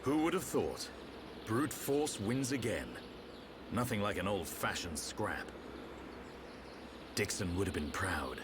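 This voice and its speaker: A man's voice: on the low side, lively intonation, takes it slowly, long pauses, background noise. A man playing a seasoned, wry warrior in a video game. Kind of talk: video game cutscene acting.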